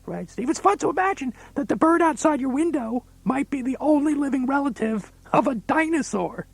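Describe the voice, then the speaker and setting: Squeaky, gravelly, childlike wisecracking sidekick. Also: male voice, expressive, normal speed, no room sound, exaggerated voice. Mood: eager. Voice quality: high, gravelly, raspy. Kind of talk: children's comedy cassette banter.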